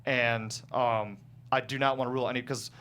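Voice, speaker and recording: Halting man over noisy hum. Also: medium pitch, steady tone, fairly bright tone. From small town in the United States. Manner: halting, self-correcting explanations. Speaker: guest screenwriter. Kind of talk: casual panel discussion of own script. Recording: clear background noise and hum.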